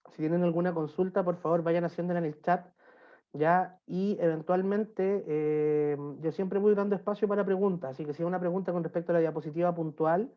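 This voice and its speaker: A man with a Chilean accent giving an online talk on beer as a sommelier, relaxed and friendly with frequent hesitations. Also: a little high. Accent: Chilean Spanish. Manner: relaxed, friendly, lots of filler "eh". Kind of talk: online presentation, chatty lecture. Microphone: computer mic on a video call. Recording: dull lo-fi video call audio.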